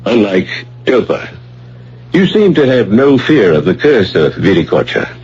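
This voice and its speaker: An actor playing a principled, stubborn professor in a radio play, husky, formal and firm. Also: male voice, fairly low pitch, very animated, unhurried, darkish tone, heavily acted. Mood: cool, probing. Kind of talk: radio drama acting. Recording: dull old radio broadcast.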